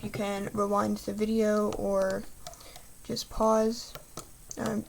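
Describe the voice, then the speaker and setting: A young woman hosting a craft tutorial, soft-spoken and apologetic, talking through each step while losing her voice. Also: medium pitch, gravelly, a little hiss or hum. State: losing her voice, clearing throat.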